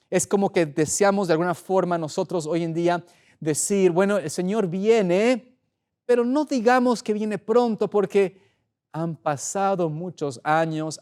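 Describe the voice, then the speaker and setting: A middle-aged man preaching as a pastor in an earnest, deliberate way, pausing for emphasis. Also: sits high, darkish tone, clear, resonant tone. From Ecuador. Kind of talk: sermon, opening with prayer.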